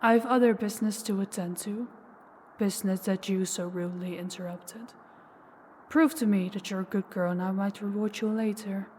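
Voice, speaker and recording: A menacing female vampire, acted by a woman for an intimate audio drama. She speaks in a medium-pitched voice, taunting, possessive and used to being obeyed, slipping toward whispers. There is noticeable noise behind her. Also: fairly even intonation, darkish tone, big-room sound, close to an everyday voice. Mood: dismissive, commanding. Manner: slow, taunting, commanding, dropping into whispers. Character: possessive, menacing older female vampire, toying with captive huntress. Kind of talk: intimate audio roleplay acting.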